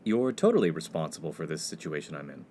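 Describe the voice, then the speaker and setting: A man's voice: very deep voice, sing-song, fast talker, dark tone, a little hiss or hum. An actor playing an earnest, prim and exasperated young college freshman in a comedy radio play. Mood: flustered. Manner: earnest, polite, pedantic.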